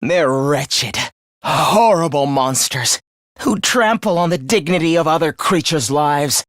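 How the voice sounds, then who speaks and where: A volatile, theatrical young student. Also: male voice, a little high, lively intonation, moderate pace, fairly bright tone, no room sound, studio quality. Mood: bitter, contemptuous. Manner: theatrical, articulate, swinging from earnest to cold contempt. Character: young male student, earnest surface, cold misanthropic killer beneath. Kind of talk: dramatic anime-style video game voice acting.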